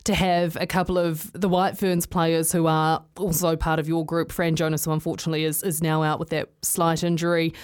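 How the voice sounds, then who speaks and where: A woman's voice: low voice, little pitch movement, a little mellow, clear, resonant tone. Upbeat woman sports radio host. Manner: brisk, upbeat, reading promos. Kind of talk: radio sports show presenting.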